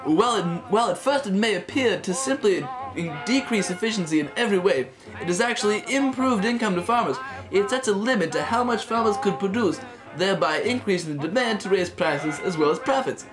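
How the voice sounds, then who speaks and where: Young man acting as 1930s radio co-host, high-pitched, jovial, flippant, chatty, hammy, music behind. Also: expressive, a little fast. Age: teens. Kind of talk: mock 1930s radio program, scripted. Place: small wood-panelled room indoors. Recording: music playing over the voice.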